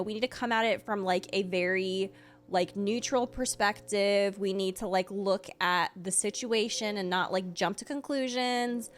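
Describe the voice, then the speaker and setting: A young woman giving casual reaction commentary as a game streamer, chatty and halting, peppering her talk with "like". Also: medium pitch, a bit bright, a little hiss or hum. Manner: chatty, halting, filler-heavy with "like".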